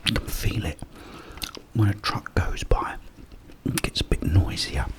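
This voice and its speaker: Whispering British man, very slow and close. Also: on the low side. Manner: up-close, hushed, rambling storytelling with pauses. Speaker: ASMR video creator. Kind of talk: ASMR whispered casual ramble. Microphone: close microphone.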